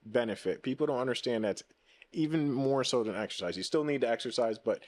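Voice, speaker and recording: A man in his forties co-hosting a podcast, speaking emphatically at a measured pace and asking pointed questions. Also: medium pitch, a little mellow. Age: 40s. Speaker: podcast co-host. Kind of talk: casual podcast conversation.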